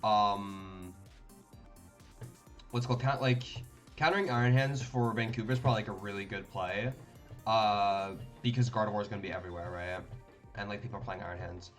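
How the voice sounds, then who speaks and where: A man's voice: on the low side, brisk, fairly bright tone, soft background music. A young man from Denmark streaming a card game, thinking aloud in casual commentary with lots of fillers and restarts.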